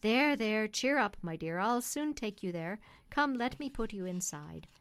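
A woman's voice: mid-range voice, lively intonation, measured pace, clear, resonant tone, dry recording. A woman voicing a kindly, firm male London cab driver in an audiobook story, hearty and plain-spoken. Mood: kindly, protective.

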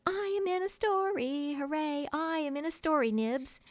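A woman voicing excitable, naive little boys who eagerly interrupt a bedtime story. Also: sits high, normal speed, no echo, pitched above the performer's own voice. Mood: thrilled.

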